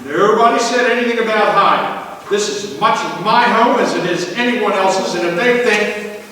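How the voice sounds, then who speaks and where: A man plays a middle-aged 1950s family father, grumbling with dry sarcasm and the odd stammer. His voice is fairly high for a man. It comes across a very reverberant hall, far from the microphone. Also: moderate pace, sharp tone. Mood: wry. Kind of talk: live stage performance of 1950s radio comedy. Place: church hall stage, recorded from afar. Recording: distant echoey hall, hissy background noise.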